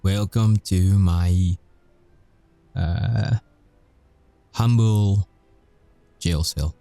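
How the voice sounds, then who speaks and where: A man playing a sardonic, volatile supernatural prisoner in an audio roleplay, mocking and bitter, swearing and taunting with dark chuckles. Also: sits low, drawn-out words, gravelly, full character voice. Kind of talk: audio roleplay ASMR acting.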